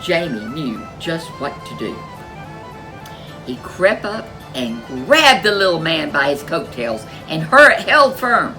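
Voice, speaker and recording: Storyteller: a woman reading aloud, gentle and unhurried, over faint music. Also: sits low, big pitch swings, low-quality recording. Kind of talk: reading a picture book aloud.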